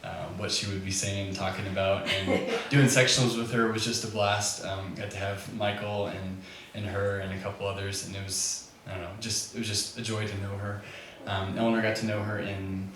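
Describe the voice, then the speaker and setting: A man giving an informal, halting tribute to a gathering before he performs. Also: on the low side, flat delivery. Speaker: community band conductor and musician speaking at a memorial. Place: echoey back room at a gathering. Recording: very echoey, distant mic, clearly noisy.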